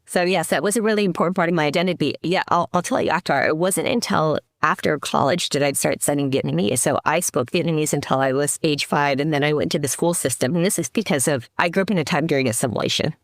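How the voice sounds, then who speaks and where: A woman's voice: deep voice, fast, few pauses, no echo, clean studio sound. A middle-aged woman from the Seattle area, a podcast guest, telling her story in a reflective way with many restarts. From Seattle area, United States. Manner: reflective, with frequent restarts and fillers. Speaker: podcast guest, company CEO. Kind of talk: casual interview talk.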